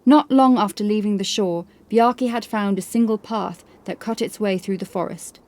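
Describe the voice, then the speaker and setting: A woman's voice: mid-range voice, moderate pace, a little mellow. Female audiobook narrator, scripted storytelling. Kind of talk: scripted narration of horror fiction.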